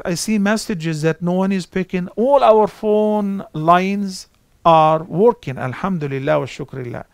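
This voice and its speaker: High-voiced man answering callers, dry sound. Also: no room sound. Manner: calm, explanatory, gestures while talking. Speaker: scholar answering callers' questions. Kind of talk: live call-in Q&A. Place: outdoor garden set.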